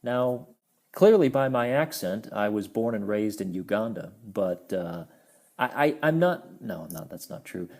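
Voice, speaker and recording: A man's voice: on the low side, expressive, measured pace, darkish tone. A man giving a casual, explanatory toy review, friendly in tone and sometimes stumbling over his words.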